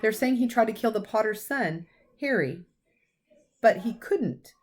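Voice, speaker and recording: A woman's voice: a little high, lively intonation, unhurried, pitched above the performer's own voice. Lady doing a strict older witch teacher in a kids book, indignant, stresses her words, bit anxious. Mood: shaken, distressed. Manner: emphatic, indignant.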